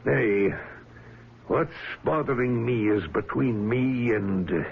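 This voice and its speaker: An actor playing a brooding ship's captain who fears madness, gruff and halting in a radio drama. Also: male voice, mid-range voice, exaggerated voice. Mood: guarded, uneasy. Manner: gruff, halting, probing, old-fashioned seafarer's speech. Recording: muffled old radio recording, faint hiss.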